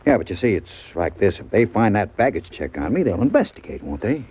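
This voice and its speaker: Husky, swooping, shifty middle-aged heavy. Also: male voice, on the low side, sing-song, fairly quick, a little mellow. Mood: uneasy, furtive. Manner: hesitant, urgent, insistent. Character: big, nervous, shifty forty-year-old pool parlor owner with menace. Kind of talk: old-time radio crime drama acting. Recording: dull vintage radio recording.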